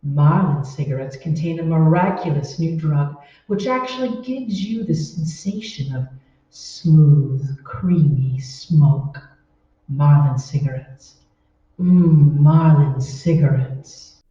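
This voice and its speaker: Woman doing a slow, purring commercial voice. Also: low-pitched, mellow. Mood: purring, seductive. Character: purring, seductive cigarette commercial voice from elevator speaker. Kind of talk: slow, sultry parody radio advertisement. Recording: roomy, muffled, distant recording.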